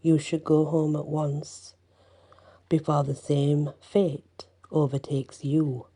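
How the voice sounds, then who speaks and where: A woman storyteller voicing a kindly old woman held captive, speaking gently and slowly. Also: sits low, flat delivery, slightly rough, pitched below the performer's own voice, heavily acted. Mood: gentle.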